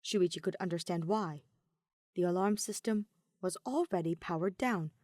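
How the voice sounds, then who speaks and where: A woman's voice: on the low side, moderate pace, darkish tone, dry recording, studio quality. A woman reading scripted fiction as an audiobook narrator, in a dramatic storytelling style.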